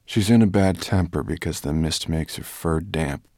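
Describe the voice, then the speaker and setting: A man performs a mild, drowsy storybook dragon. He is male, composed and a little hoarse, with some creak in the voice. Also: rumbling low, moderate pace, dark tone, no room sound, studio quality. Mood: calm, firm. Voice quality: rather hoarse.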